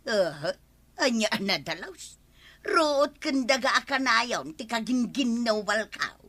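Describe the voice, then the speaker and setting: Grumbling middle-aged village wife, Ilocano accent, whiny, very lively pitch, dry studio sound, radio comedy. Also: female voice, slow pace, no room sound, full character voice. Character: grumbling village wife tired of farm work.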